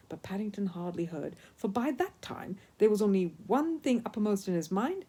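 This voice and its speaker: A woman reading a children's story aloud as an expressive narrator. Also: medium pitch, husky. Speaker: storyteller, audiobook reader.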